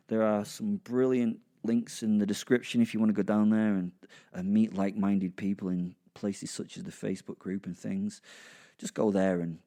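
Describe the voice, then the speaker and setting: A man narrating a first-person horror comedy story with a theatrical, relishing manner and dramatic pauses. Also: lowish voice, gravelly, warm tone. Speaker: narrator and host.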